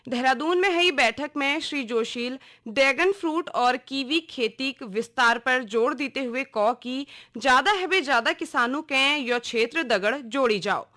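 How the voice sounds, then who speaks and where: A woman with a Kumaoni accent reading a scripted regional radio news bulletin in a formal, measured announcer's delivery. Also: high voice, pushed and bright.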